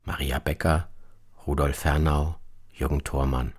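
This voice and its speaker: A man reading scripted radio documentary narration in a measured, matter-of-fact manner. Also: rumbling low, level tone, slightly rough. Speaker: narrator, presenter.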